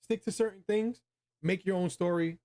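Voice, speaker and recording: A man's voice: sits high, fairly even intonation, moderate pace, darkish tone. A man hosting a casual movie review chat, speaking in a slangy, emphatic, opinionated way. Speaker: host of a video game movie review show.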